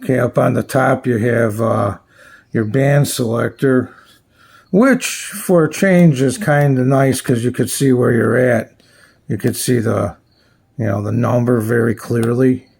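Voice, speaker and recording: Gravelly, husky man reviewing gadgets, unhurried. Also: mid-range voice, a little mellow. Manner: plainspoken, halting, thinking aloud. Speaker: radio reviewer presenting his channel. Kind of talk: casual unscripted product walkthrough.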